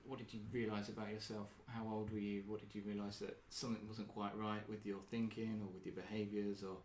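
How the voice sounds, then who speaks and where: A man hosting a group talk in a meeting room, asking gentle questions with many hesitations and ums. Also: fairly low pitch, level tone, fairly quick, a little hiss or hum. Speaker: group facilitator and podcast host. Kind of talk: casual group discussion. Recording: roomy echo.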